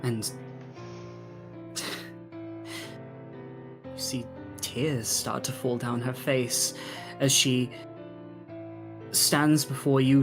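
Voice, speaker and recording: A man narrating a tabletop game as game master, dramatic and deliberate, with long pauses. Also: medium pitch.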